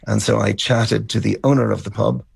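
A middle-aged or older Irish man telling stories as a musician being interviewed, reflective and somewhat halting. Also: fairly low pitch, steady tone, creaky, husky, dry recording. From County Cavan, Ireland. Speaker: interview guest, band musician. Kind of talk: conversational storytelling interview.

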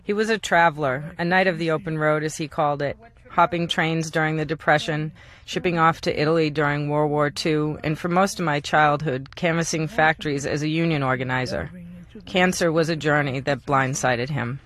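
A woman journalist narrating a personal documentary in a quiet, measured, gentle way. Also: deep voice, steady tone. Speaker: journalist narrating a documentary about her father.

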